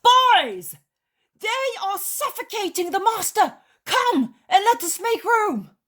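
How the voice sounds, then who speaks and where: A woman's voice: very high voice, big pitch swings, slow, fairly bright tone, pitched above the performer's own voice, heavily acted. A woman voicing a protective, exasperated male disciple in a storybook reading, urgent and commanding. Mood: alarmed shouting. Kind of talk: dramatized devotional storybook reading.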